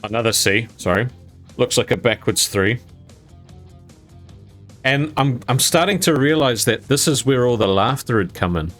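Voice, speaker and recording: A man's voice: mid-range voice, animated, normal speed, long pauses, fairly bright tone, soft background music. Chatty young man telling a story. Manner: rambling with fillers, laughing as he tells it. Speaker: gaming YouTuber telling a personal story. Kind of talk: casual storytelling to camera.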